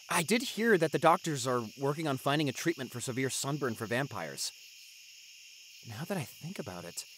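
Man playing an expressive, tender vampire boyfriend. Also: fairly high pitch, slight background noise, low-quality recording. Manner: tender, encouraging, earnest, halting when emotional. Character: devoted, gentle young male vampire boyfriend. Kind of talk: audio roleplay acting, intimate one-sided dialogue.

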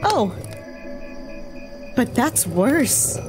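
A woman's voice: on the high side, very animated, drawn-out words, full character voice. Woman acting a nervous, weary djinn over music. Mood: weary, anxious. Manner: nervous, hesitant. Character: anxious, shaken female djinn slave, fearful and weary. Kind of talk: audio fiction acting.

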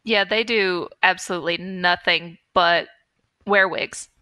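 A woman's voice: lowish voice, steady tone, a little slow, bright, forceful tone, clear, resonant tone, no echo. A woman co-hosting a podcast in casual chat, with wry, playful quips. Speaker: podcast co-host.

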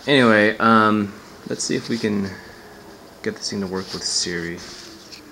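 A man's voice: on the low side, measured pace, bright, forceful tone, slight background noise, poor audio. A man giving a casual hands-on gadget demo as a reviewer, offhand and sardonic, swearing and sounding exasperated.

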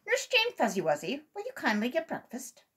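A kindly old gentleman rabbit from a children's storybook, as a woman reader puts him on. He is courteous and expressive, with lively rises and falls and a slightly husky edge. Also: high voice, a little fast, pitched above the performer's own voice, exaggerated voice. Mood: polite.

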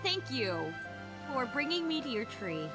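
A sweet, excitable young princess rambles and giggles in a flustered rush, dubbed live by a woman. The voice is high and lively, with game music loud beneath it. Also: unhurried. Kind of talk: improvised real-time fandub.

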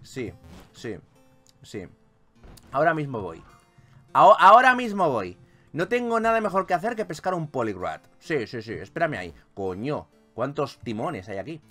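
Animated, high-pitched man from Spain, gaming commentary. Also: moderate pace. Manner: animated, exclamatory, jokey. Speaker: gaming video host. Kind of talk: playful gameplay commentary. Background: video game music.

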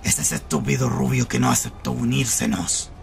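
Gravelly man performing anime roleplay. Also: medium pitch, animated, normal speed, faint music behind. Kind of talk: acted anime villain-and-hero roleplay.

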